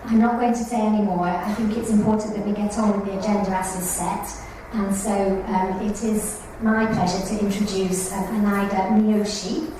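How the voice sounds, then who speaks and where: A woman facilitating a support group meeting, speaking in a measured way with plenty of pauses and ums. She sits in the middle range for pitch. The recording is distant and very echoey, with clear room noise underneath. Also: little pitch movement. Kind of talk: meeting announcements and speaker introduction. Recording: echoey room, far mic, noisy.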